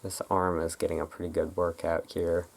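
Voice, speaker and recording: The voice sits very low and is thick with creak. It belongs to an ASMR host who talks informally, rambling and halting through a casual roleplay. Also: little pitch movement.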